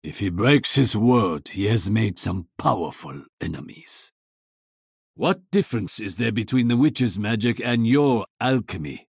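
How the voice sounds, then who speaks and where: Husky, brooding Japanese archer. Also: male voice, fairly low pitch, animated, a little slow, dry recording, studio quality, heavily acted. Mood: stern, brooding. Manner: solemn, proud, terse. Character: stern, brooding Japanese archer, exiled warrior of honor. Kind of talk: video game voice lines.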